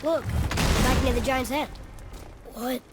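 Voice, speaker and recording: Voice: high voice, slow, heavy background noise. A performer playing a curious, plucky young boy in a video game, inquisitive and eager. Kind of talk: video game dialogue acting.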